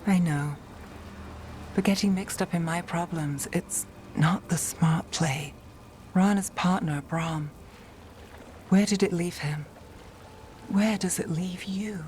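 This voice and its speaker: A woman's voice: fairly low pitch, slow, long pauses, a little mellow, slight background noise. A woman voicing a weary, guarded detective with dry, understated wit in a fantasy video game. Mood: weary, troubled. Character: weary, wry, guarded young female detective in a fantasy city.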